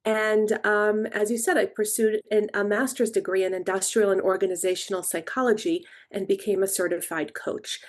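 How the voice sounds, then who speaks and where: A woman from Israel, middle-aged, who works as a coach and is a guest on a podcast. She talks in a measured, reflective way and picks her words with care. Her voice sits at an ordinary middle pitch for a woman. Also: little pitch movement. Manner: thoughtful, measured explaining. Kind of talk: podcast interview.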